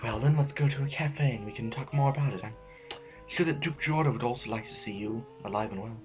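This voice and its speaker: An actor playing an anxious, weary, conscientious official in an audio drama, speaking formally with hesitant stammers. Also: male voice, medium pitch, fast, a little mellow, natural voice. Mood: matter-of-fact. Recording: music under the voice. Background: music.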